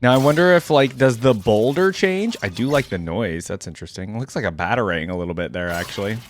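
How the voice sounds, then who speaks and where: A man giving casual, off-the-cuff reaction commentary as a video host, rambling as he thinks out loud. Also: fairly low pitch, animated, fast, slight background noise.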